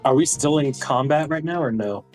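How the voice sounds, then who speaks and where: A man's voice: a little high, moderate pace, darkish tone, soft background music. A man chatting casually as a player in a tabletop role-playing game.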